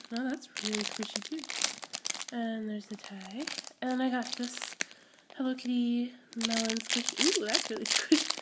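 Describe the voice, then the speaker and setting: A young woman with a congested, cold-stricken voice sitting a little high in pitch. She rambles and gushes happily over little toys. The phone recording is full of crinkling plastic and handling noise. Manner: rambling, giggly, gushing over small items. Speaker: squishy collector vlogger. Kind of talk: casual unboxing chat. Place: indoors at home, camera pointed at a table.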